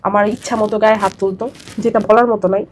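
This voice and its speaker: A woman's voice: mid-range voice, steady tone, fast, a little hiss or hum. A young woman with a Bangladeshi accent telling her personal story as an interview guest, emphatic and repetitive as she pours out her account.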